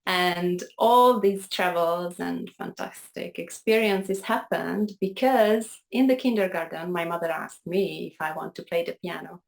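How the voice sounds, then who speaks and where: A woman's voice: fairly low pitch, fairly even intonation, a little slow, gravelly, hardly any pauses, rough recording. Hungarian-accented woman telling her story. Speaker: piano teacher, interview guest. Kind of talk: conversational storytelling.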